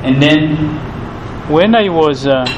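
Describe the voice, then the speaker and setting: A young man in his twenties with a Rwandan accent, a native Kinyarwanda speaker, answering interview questions earnestly and haltingly, often repeating words as he searches for them. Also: fairly high pitch, expressive, muffled recording. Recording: roomy with background noise.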